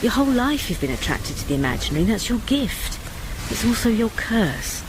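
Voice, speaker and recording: A knowing, middle-aged mother in a radio drama. She is brisk and sardonic yet loving, with a very mobile pitch. Noticeable ambient noise sits behind her. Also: very animated. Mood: dry, teasing. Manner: dry, sardonic.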